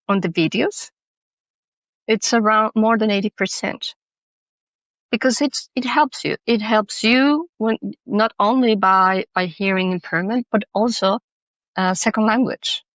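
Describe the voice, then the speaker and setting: A woman's voice: mid-range voice, unhurried, a bit bright, no echo, poor audio. Woman, earnest and dry. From Mexico. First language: Spanish. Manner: earnest, thoughtful, with many restarts. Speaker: guest, accessibility consultant. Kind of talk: podcast interview.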